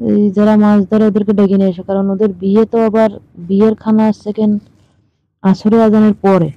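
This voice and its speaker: Woman, medium pitch, teasing colloquial phone chat, dull lo-fi call recording. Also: little pitch movement, normal speed, no echo. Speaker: caller in a staged phone conversation. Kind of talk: casual flirtatious phone chat.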